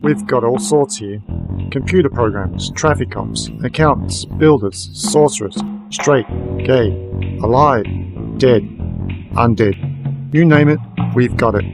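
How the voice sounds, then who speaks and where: A man's voice: mid-range voice, a little slow, gravelly, rough recording. Man acting a wry, world-weary werewolf detective over music. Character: werewolf private investigator, weary, sardonic narrator. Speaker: narrator and lead. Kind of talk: first-person noir radio drama narration.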